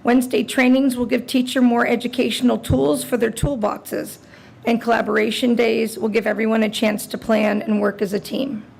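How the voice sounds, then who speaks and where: A woman with laryngitis speaks in a strained, gravelly rasp. She pitches it a bit high as she reads a prepared address to a school board. A faint background hiss sits under the boardroom microphone. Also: level tone, moderate pace, low-quality recording. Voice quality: raspy. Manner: reading a prepared statement. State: hoarse from laryngitis. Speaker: teachers' union president.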